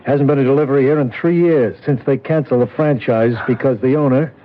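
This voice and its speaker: An actor playing a skeptical police lieutenant who questions bluntly in a 1970s radio drama. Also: male voice, mid-range voice, quick pace, slight background noise, close to an everyday voice. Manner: blunt, matter-of-fact questioning. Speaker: police detective. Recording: tinny old radio broadcast.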